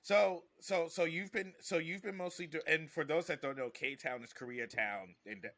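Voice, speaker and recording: A man's voice: a little high, moderate pace, a bit bright, no echo. A man hosting a podcast in casual, conversational style. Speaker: podcast host.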